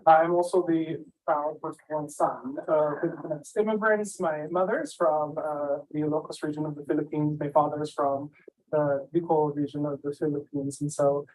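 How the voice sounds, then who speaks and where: Sincere man from Hawaii, higher voice. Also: fairly even intonation, normal speed, poor audio. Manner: warm, sincere, frequent "uh" fillers. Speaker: assistant professor, panelist. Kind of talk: self-introduction at a virtual event. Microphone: video call.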